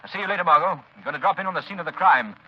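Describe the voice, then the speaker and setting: A man's voice: sits high, very fast. A man acting in a 1930s radio drama with a suave, urbane manner. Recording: dull old radio recording, faint hiss.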